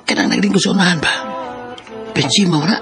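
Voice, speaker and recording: An eager Filipino buddy with a Cebuano accent chatters in a high, fast voice. It is radio drama acting, with loud music behind him. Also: male voice, vocal fry. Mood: eager, casual. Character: chatty, eager male buddy inviting his friend along.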